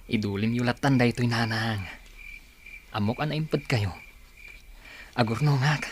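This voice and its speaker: A man's voice: mid-range voice, normal speed, a little hiss or hum, close to an everyday voice. A man acting in a radio drama as an earnest young son who dreams of escaping poverty. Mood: earnest, subdued.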